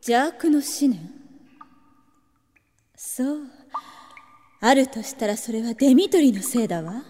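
A woman playing a proud, seductive dark-world noblewoman in an audio drama, composed and imperious. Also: a little high, lively intonation, a little slow, faint music behind. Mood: cool, poised. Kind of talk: Japanese audio drama acting.

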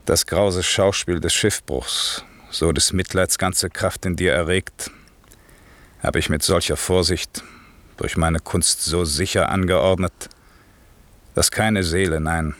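A grave, commanding magician and father in a classic radio drama. He declaims solemnly in a deep voice, recorded close and very dry. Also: a bit bright, dry recording, full character voice. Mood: solemn, soothing. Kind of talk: classic German radio play acting.

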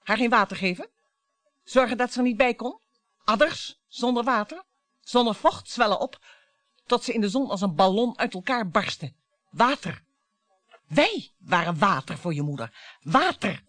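An actress in a radio play playing a bitter, provocative wife who dwells on words theatrically. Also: female voice, fairly high pitch, animated, normal speed, pauses often, a bit bright, no echo. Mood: scornful. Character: bitter, taunting wife dredging up old family grievances.

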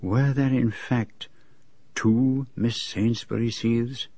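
A middle-aged foreign detective in a radio drama, with a French-speaking European accent and a slightly husky edge. He is shrewd and unfailingly polite, choosing formal, precise phrases. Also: mid-range voice, fairly even intonation, takes it slowly, dark tone, dry recording. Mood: musing. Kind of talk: radio drama dialogue and narration.

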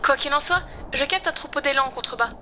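A bright, high female AI companion, played by a woman in a sci-fi audio drama. She talks briskly and matter-of-factly, with exact figures and dry wit. There is slight background noise. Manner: matter-of-fact, precise, dryly witty. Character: precise, literal-minded female AI in a hunter's weapon. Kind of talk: French science-fiction audio drama acting.

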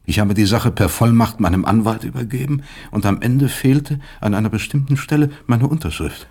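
An actor playing a bitter, resigned middle-aged professor in a radio play, lecturing in a measured, sardonic way. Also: male voice, medium pitch, darkish tone, lightly acted. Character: disgraced, bitter male professor, philosophical and resigned. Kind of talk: German radio play acting.

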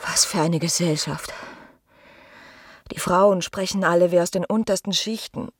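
A woman's voice: lowish voice, steady tone, moderate pace, no room sound, close to an everyday voice. An actress playing a disillusioned, restless married woman voicing her inner thoughts in a radio play. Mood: disdainful. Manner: introspective inner monologue.

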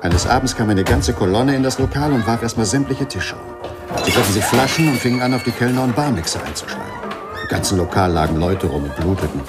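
An actor playing a wry, unflappable jazz musician recalling rough nightclub days in a radio play, with dry storytelling. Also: male voice, medium pitch, a little fast, over loud music, lightly acted.

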